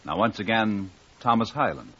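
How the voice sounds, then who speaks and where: A man's voice: fairly low pitch, lively intonation, moderate pace, background noise. A man announcing in a formal 1950s radio style, introducing a programme and its host. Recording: muffled vintage radio recording.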